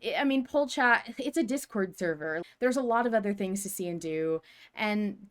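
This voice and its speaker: A woman in casual podcast conversation, her voice sitting at a middle pitch. She is animated and emphatic, rambling informally through her thoughts as a co-host would with a friend. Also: normal speed, clear, resonant tone. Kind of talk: casual fan podcast chat.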